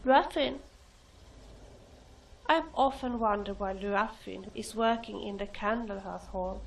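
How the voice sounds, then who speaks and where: A woman's voice: on the high side, recorded from a distance, lightly acted, poor audio. A woman playing an affectionate, opinionated companion in a fantasy video game. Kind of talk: video game character dialogue.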